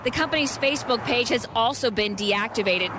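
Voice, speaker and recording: A woman's voice: fairly high pitch, fairly quick, noisy background. A woman with an American accent giving a live television news report in a reporter's structured delivery.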